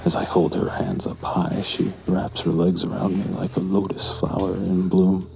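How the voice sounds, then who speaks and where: An actor playing a callous, doomed seducer who tells his dark tryst in a slow, brooding horror audio drama style. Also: sits low, level tone, creaky. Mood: brooding, entranced. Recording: prominent music under the voice.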